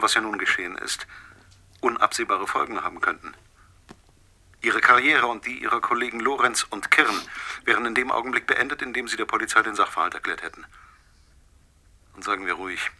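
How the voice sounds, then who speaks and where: An actor in a radio drama playing a vengeful husband, a coldly controlled and menacing caller who speaks with deliberate mockery. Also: male voice, lowish voice, bright, forceful tone, exaggerated voice. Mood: coldly superior.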